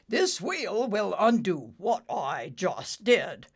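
A woman's voice: medium pitch, very animated, slow pace, husky, exaggerated voice. A woman voicing a bumbling, self-assured old male wizard in a storybook reading, with fussy, fretful muttering. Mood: pompous.

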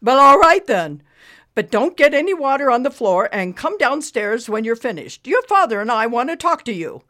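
Woman playing a bossy naggy mom, loud, a bit high, lots of pitch movement. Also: very animated, moderate pace. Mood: stern. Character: stern, fussy mother.

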